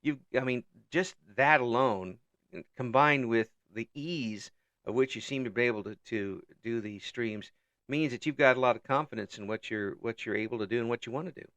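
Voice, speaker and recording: A man's voice: medium pitch, moderate pace, fairly bright tone, no room sound, highs cut off. A man hosting an interview, chatting casually, laughing often and tripping over his words. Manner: chuckles often, stumbles and repeats words. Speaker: interview host.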